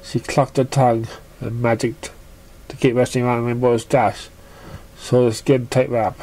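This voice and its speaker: Brief: storybook narrator, mid-pitched and mellow, unhurried reading with the odd stumble. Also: little pitch movement. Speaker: fan fiction narrator.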